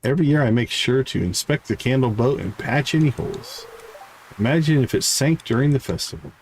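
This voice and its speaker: A man's voice: medium pitch, lively intonation, fairly quick, darkish tone, slight background noise, low-quality recording. A man livestreaming a game, reading character dialogue aloud with stumbles and short asides. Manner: reads on-screen text aloud with stumbles and asides. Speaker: game streamer.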